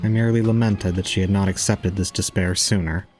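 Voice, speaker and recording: A man playing a detached, resigned young student, narrating solemnly and calmly in an audio drama. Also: low-pitched, a little fast, soft background music, natural voice. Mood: solemn, pitying. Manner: solemn, calm, unemotional. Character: detached, resigned young male student recounting his own ordeal. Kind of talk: scripted first-person audio drama narration.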